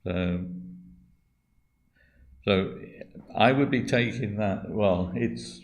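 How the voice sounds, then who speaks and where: Low-voiced man chairing a church meeting, careful and hesitant. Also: very slow. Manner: hesitant, with many restarts and qualifiers. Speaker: church meeting presenter answering questions on accounts. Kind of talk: meeting talk, thinking aloud.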